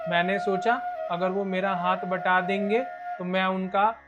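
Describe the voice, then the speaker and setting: Man playing a high-pitched, even-toned village fisherman. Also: a little slow, dull audio. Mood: explaining. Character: friendly hardworking young village fisherman husband. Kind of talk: cartoon moral story voice acting. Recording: loud music behind.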